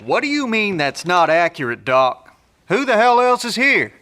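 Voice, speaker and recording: An insistent, confrontational survivor in an audio drama. The voice is high and sharp, and very animated in pitch. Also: male voice, normal speed, heavily acted. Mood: angry, demanding. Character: agitated, demanding male survivor confronting a doctor.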